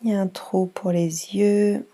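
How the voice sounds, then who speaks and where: Slow, soft-voiced woman guiding relaxation. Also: medium pitch, fairly even intonation. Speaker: yoga nidra guide. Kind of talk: guided relaxation for children.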